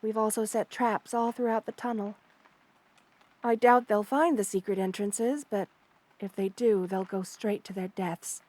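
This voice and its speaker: A woman playing a loving, protective spider queen in an ASMR roleplay, speaking tenderly and with gentle regal poise. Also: medium pitch, steady tone, measured pace, lightly acted. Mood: reassuring. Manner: tender, nurturing, gently regal, addresses listener with endearments. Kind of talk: ASMR roleplay, intimate character acting.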